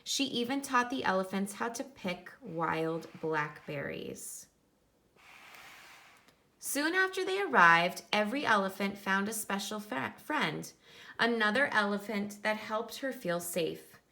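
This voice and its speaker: A woman with a bright, forward voice reads aloud as a teacher would to young pupils. Her delivery is instructive, with simple wording meant for children. Her pitch sits in an ordinary middle range for a woman. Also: very animated, a little slow, vocal fry. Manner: instructive, explains words in simple terms. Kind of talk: children's nonfiction read-aloud with explanatory asides.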